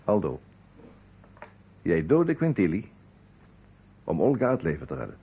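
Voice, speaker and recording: A shrewd detective in a vintage radio drama. His husky, low voice is measured and commanding, with lively swings of intonation. The old recording sounds dull and narrow. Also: heavily acted. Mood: stern, deliberate. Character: shrewd male amateur detective laying out a murder case. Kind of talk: old Dutch radio play acting.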